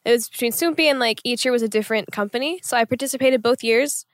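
A woman's voice: a little high, fast talker, dry recording. A young adult woman chatting as a guest, rambling with lots of fillers. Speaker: guest, singer. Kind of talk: casual chat.

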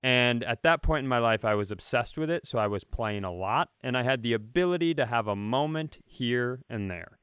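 A man with a clear, smooth voice in a very dry recording space, talking casually as a podcast co-host. He tells comic stories with a competitive streak and plenty of swearing. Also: mid-range voice, lively intonation, normal speed, hardly any pauses, a bit bright, no room sound. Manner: profane, competitive storyteller.

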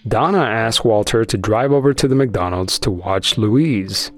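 A man's voice: fairly low pitch, a little slow, faint music behind. A man hosting a podcast, telling a true-crime story in a conversational narration style. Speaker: podcast host.

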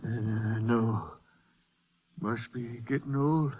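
Slow, husky, dying old man. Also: medium pitch. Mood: exhausted, frustrated. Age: older. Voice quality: husky, faint. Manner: halting, labored speech with long pauses. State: weak, exhausted. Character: dying old shopkeeper, gunshot victim, weary but stubborn. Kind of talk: 1950s American western radio acting. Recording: muffled, phone-like old radio recording.

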